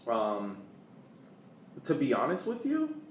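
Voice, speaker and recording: An American man co-hosting a podcast, talking casually about sports in a halting way, repeating words as he searches for phrasing. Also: medium pitch, wildly swooping pitch. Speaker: podcast co-host. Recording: echoey room, distant mic, faint background noise.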